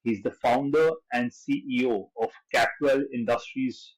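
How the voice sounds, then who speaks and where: Male podcast host, mid-pitch, husky edge, brisk and scripted. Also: fairly even intonation, rough recording. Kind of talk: interview hosting.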